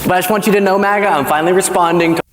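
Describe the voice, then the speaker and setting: A man's voice: sits high, steady tone, racing. A man giving a joking speech as a political show host to a live audience, breaking into laughter as he talks. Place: large hall in front of an audience. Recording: roomy echoing hall with loud crowd noise.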